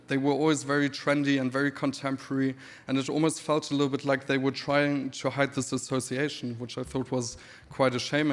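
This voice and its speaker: A man in his thirties from Namibia with a German accent, giving a relaxed conference talk with dry humor and many pauses. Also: mid-range voice. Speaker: speaker presenting his creative work. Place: event hall. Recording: reverberant hall.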